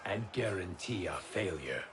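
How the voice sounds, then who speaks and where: A man's voice: low voice, expressive, unhurried. A man playing a sly, knowing schemer in a video game, with a dry delivery. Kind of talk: video game dramatic acting. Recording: loud music under the voice. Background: loud game score.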